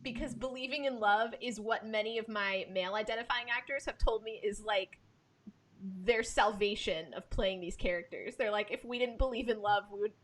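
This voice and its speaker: A woman who works as a theatre director, talking casually in a discussion in an emphatic, expressive way, repeating words for stress. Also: moderate pace. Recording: clear background noise with low rumble.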